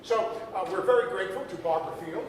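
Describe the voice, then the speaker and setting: A man's voice: sits high, quick pace. A man introducing a show as host in an informal welcome speech. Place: performance hall stage. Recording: very echoey hall, distant, faint noise.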